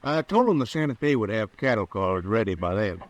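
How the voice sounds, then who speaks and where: An actor playing an honest, hesitant frontier cattle buyer with a folksy American Western accent in a radio drama. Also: male voice, fairly low pitch, animated, muffled recording, natural voice. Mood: earnest, confiding. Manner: folksy, halting, deferential. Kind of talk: old-time Western radio drama acting. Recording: vintage radio broadcast recording.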